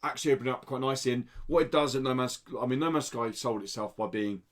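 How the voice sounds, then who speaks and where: A male podcast co-host with a medium-pitched voice talks casually about video games. His delivery is informal and conversational, peppered with the occasional swear word. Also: quick pace.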